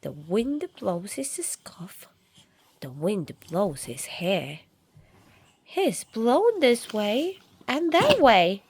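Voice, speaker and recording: A woman reading a picture book aloud to young children, dramatically, with drawn-out words and shifts between hushed and loud delivery. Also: mid-range voice, very animated, husky. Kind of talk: animated read-aloud storytelling for young children.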